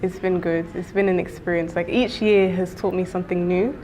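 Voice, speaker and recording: A woman in her early twenties giving relaxed, matter-of-fact interview answers. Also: fairly low pitch, a little fast, slight background noise, poor audio. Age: 20s. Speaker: student interviewee.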